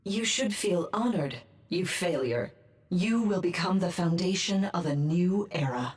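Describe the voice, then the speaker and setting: A woman's voice: fairly low pitch, lively intonation, a little slow. An actress playing a ruthless, contemptuous woman scientist with a clinical, disdainful delivery in a video game dub.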